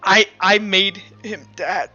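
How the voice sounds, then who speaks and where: A man voicing a guilt-ridden, tearful teenage daughter who speaks in a halting, pleading way. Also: high voice, fairly even intonation, pushed and bright, pitched above the performer's own voice, heavily acted. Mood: tearful, guilty. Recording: dull lo-fi audio under music.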